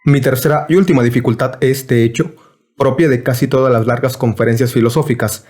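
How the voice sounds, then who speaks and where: A man giving a measured, formal scripted reading of a philosophy lecture. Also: medium pitch, steady tone, darkish tone.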